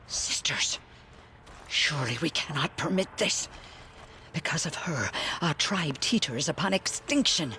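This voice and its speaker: Bitter, zealous old matriarch. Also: female voice, sits low, animated, unhurried, sharp tone, a little hiss or hum, exaggerated voice. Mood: scornful, alarmed. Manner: accusing, zealous, formal. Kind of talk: video game voice acting. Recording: faint music behind the voice.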